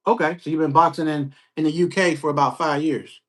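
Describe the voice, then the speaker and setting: Male podcast host, relaxed and slangy, a bit high in pitch. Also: brisk. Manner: laid-back, slangy, frequent shout-outs. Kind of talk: casual interview chat.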